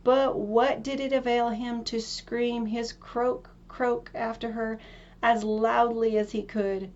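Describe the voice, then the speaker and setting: A woman's voice: on the high side, little pitch movement, slow, darkish tone, lo-fi sound. A woman narrating a fairy tale from a script as a storyteller.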